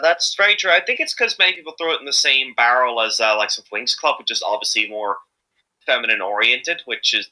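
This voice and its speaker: A man's voice: mid-range voice, pushed and bright, low-quality recording. A man chatting casually as an interviewed amateur voice actor, rambling and hesitant, often correcting himself as he goes.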